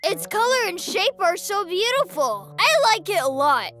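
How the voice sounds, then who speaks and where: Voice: very high voice, big pitch swings, moderate pace, fairly bright tone, full character voice. A performer voicing a curious, friendly little kindergarten boy in a children's cartoon, with an eager, caring manner. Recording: music behind the voice.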